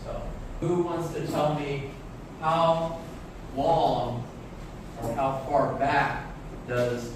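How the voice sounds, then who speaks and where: A man teaching a class, explaining words slowly and clearly and praising students often. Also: medium pitch, level tone, noisy background. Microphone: camera far from the speaker. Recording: echoey classroom from far off.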